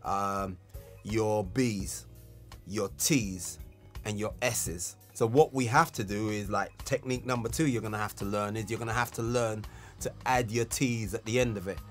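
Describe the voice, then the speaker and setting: A man's voice: on the low side, normal speed. A man giving an instructional tutorial as a music tutor, explaining technique with emphasis on key words.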